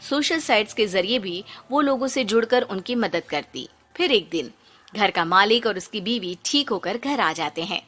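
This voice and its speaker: A woman's voice: mid-range voice, expressive, normal speed, fairly bright tone. A woman reading a scripted story as its narrator.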